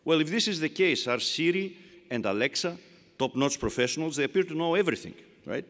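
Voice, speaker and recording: A man's voice: fairly high pitch, animated, brisk, a bit bright. An older man with a Greek accent giving an emphatic, rhetorical commencement speech. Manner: rhetorical, emphatic, repeats phrases for effect. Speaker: commencement speaker. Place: large arena. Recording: long arena reverb.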